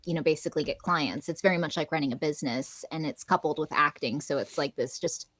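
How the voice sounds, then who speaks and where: A woman in her thirties from Southern California talks in a loose, chatty way, peppering her sentences with "like" and quick laughs, with a neutral American accent. Her voice sits a little low for a woman. The sound is dry and close, with no room in it. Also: a bit bright, dry recording. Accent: General American. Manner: chatty, many "like" fillers, laughs often. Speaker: podcast guest, voice actor and coach. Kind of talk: casual interview chat.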